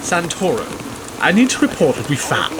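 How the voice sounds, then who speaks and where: A man's voice: fairly high pitch, big pitch swings, moderate pace, noisy background. A man playing a grumpy starship general who gets flustered and stammers in an audio drama. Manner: stammering, flustered before superiors.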